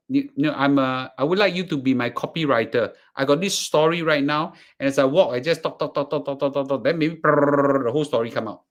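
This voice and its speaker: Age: adult. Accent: Singaporean. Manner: halting, chatty man. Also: fairly high pitch. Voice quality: halting, repeats words, fillers. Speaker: course presenter. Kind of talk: casual live class talk.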